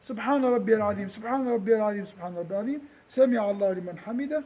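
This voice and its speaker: A man's voice: high-pitched, quick pace, warm tone, muffled recording. A man giving a religious lesson to a gathering, often questioning his listeners and repeating and restarting phrases as he explains. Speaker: religious teacher.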